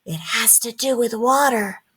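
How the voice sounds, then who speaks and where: A woman's voice: on the high side, measured pace, fairly bright tone, slightly rough. A woman voicing a good-natured, modest male mole with a mild, friendly manner in a children's storybook. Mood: teasing. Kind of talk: children's storybook read-aloud.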